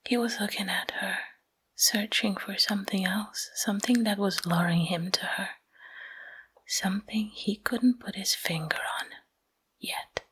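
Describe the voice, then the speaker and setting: A woman whispering a bedtime story in ASMR style, hushed and breathy, in short phrases with pauses, close to the microphone. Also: medium pitch, fairly even intonation, low-quality recording.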